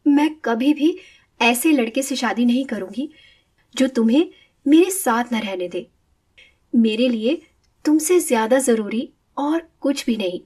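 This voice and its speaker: A woman's voice: a little high, lively intonation, a little slow, a little mellow, husky. A woman voicing a devoted young adult daughter in a moral story, earnest and insistent. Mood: devoted, determined. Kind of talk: animated moral story dialogue.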